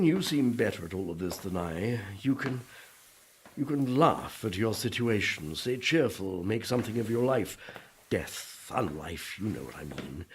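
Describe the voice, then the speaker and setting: An actor playing the gloomy, repentant ghost of a Puritan pastor in a radio play, wistful and self-pitying in old-fashioned formal speech. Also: male voice, lowish voice, a little hiss or hum.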